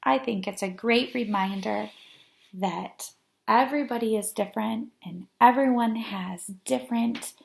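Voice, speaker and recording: A woman reading a picture book aloud to young children, expressive and dramatic, with little asides. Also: on the high side, unhurried, gravelly, slightly rough. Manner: animated, dramatic emphasis for young listeners.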